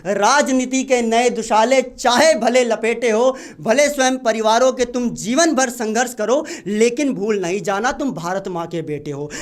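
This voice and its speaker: A man's voice: very high voice, unhurried, few pauses. A man reciting patriotic poetry at an open mic, declaiming and repeating lines for emphasis. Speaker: poet.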